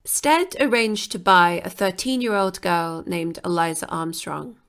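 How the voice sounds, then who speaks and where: A woman reading scripted documentary narration for a podcast in a measured, even way. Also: lowish voice.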